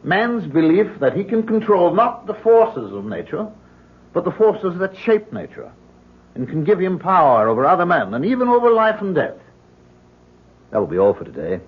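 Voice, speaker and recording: An actor playing a courteous, reassuring university professor with a measured delivery in a radio drama. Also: male voice, sits high, big pitch swings, husky, full character voice. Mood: lecturing. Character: smooth-talking, reassuring male university professor with secrets. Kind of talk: 1970s radio drama acting. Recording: dull old radio broadcast.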